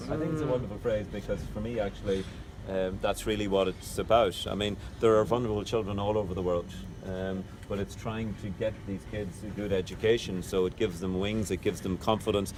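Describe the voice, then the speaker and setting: A man's voice: on the low side, fairly even intonation, brisk. A middle-aged man with a Northern Irish accent leading a walking tour of his city, a chatty storyteller who jokes with his group. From Northern Ireland. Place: outdoors on city streets. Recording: street noise outdoors.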